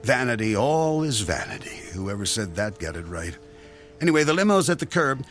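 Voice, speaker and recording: An actor playing a sarcastic, condescending husband who coaxes and schemes, in a radio drama. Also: male voice, medium pitch, very animated, moderate pace, soft background music, lightly acted. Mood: wry. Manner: sardonic, coaxing.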